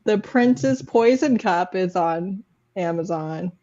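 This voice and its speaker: A woman's voice: fairly low pitch, slow pace, dark tone, highs cut off. A woman hosting a word game in casual group chat. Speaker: host running a word game.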